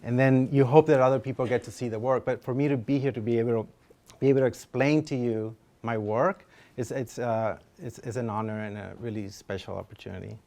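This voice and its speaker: A man from Honduras speaking as a guest artist in an informal lecture, hesitant, humble and candid, with a smooth, clear voice. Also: mid-range voice, steady tone, a little fast, mellow. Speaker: fine-art photographer, guest speaker.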